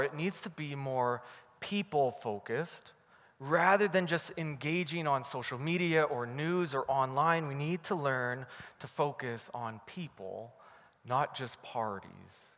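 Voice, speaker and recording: A Canadian man preaching a sermon from the pulpit, telling a story in a lively, animated way. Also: mid-range voice, long reverb tail. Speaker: pastor.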